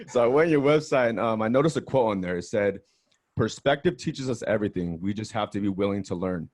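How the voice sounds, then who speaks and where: A man's voice: lowish voice, very animated, fairly quick, darkish tone. A man hosting a podcast, talking in a friendly, upbeat way with casual slang. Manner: friendly, upbeat, uses slang like bro and dope. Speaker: podcast host. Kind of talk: casual interview talk.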